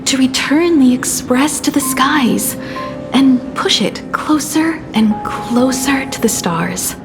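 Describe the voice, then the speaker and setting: An actress playing a devoted young female companion in a game drama, speaking earnestly and firmly, with measured resolve. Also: high-pitched, animated, over music, exaggerated voice. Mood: determined.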